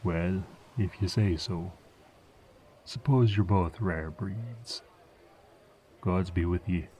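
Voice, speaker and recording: A man's voice: deep voice, slow, dark tone, slight background noise. A man voicing an old, chatty village farmer with a rambling dialect, in a fantasy audio roleplay. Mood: curious, wary. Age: older. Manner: folksy, rambling rustic dialect.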